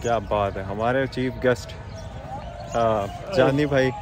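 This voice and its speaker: A man's voice: mid-range voice, takes it slowly. A man from Pakistan with a Pakistani Punjabi accent, casually explaining the sights as a local guide. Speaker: local guide to a visiting guest. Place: historic fort, museum rooms and open grounds. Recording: handheld vlog with crowd noise.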